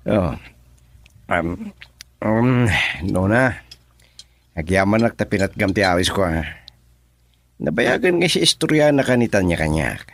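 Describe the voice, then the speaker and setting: Sentimental ilocano suitor, radio drama, lowish voice, very up and down. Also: male voice, sing-song, measured pace, pauses often, full character voice. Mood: polite.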